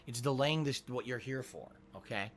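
A man's voice: medium pitch, normal speed, fairly bright tone. A man streaming a video game and chatting casually while congested with a cold. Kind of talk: casual live-stream chat.

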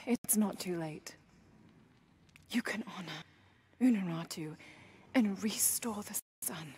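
A woman plays a determined young female adventurer in a dramatic game scene. She speaks earnestly at a middling pitch, carrying old grief, over faint background noise. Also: slow pace, darkish tone, natural voice. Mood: quiet, intense. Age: young adult. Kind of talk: dramatic video game cutscene acting.

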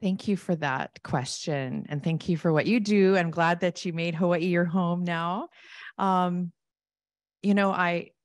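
A woman keynote speaker answering audience questions in a conversational way, thanking the askers and acting out quick asides. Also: deep voice, dry recording, studio quality. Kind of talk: conference Q&A answers. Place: large conference hall with audience.

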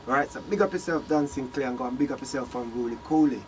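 A man hosting a casual quick-fire interview in a small studio, prompting his guest with short replies. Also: mid-range voice, a little mellow, slight background noise. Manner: brief encouraging backchannels. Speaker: interview host. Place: small studio room with screens.

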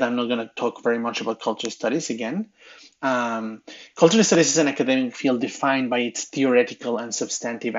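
A man's voice: mid-range voice, lo-fi sound. A man in his thirties with a Mexican Spanish accent, giving a recorded slide lecture as a university lecturer, hesitant with many fillers and restarts.

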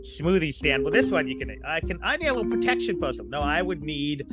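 A man speaking as a podcast guest in casual, playful, improvised chat, often halting as he searches for words. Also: fairly high pitch, sing-song, over loud music.

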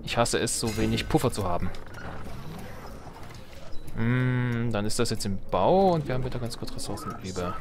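Male let's play host, mid-range voice, casual and wryly self-mocking, game music clearly underneath. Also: mid-range voice, animated, moderate pace, long pauses. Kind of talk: casual gameplay commentary. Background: video game music.

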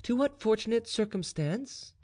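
A man voicing a calm, dutiful young noblewoman, reserved and soft-spoken, with formal phrasing in a storybook reading. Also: high voice, moderate pace, pitched above the performer's own voice.

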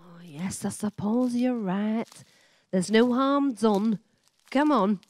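A woman acting a married wife in a radio play, chatty and expressive, quick to exclaim and a little wary. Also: on the high side, dry recording. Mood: breezy. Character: married countrywoman on an outing, chatty, easily flustered wife.

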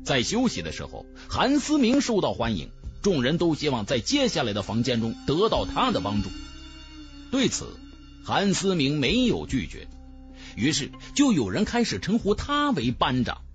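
A man narrating a fantasy novel in a dramatic, expressive storytelling manner. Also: fairly high pitch, normal speed. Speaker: audiobook narrator. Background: background music.

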